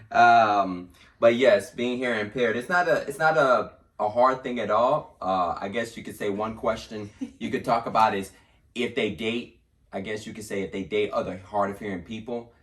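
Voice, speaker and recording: A man in a relaxed family chat, explaining things to viewers. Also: lowish voice, normal speed. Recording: distant mic in a room.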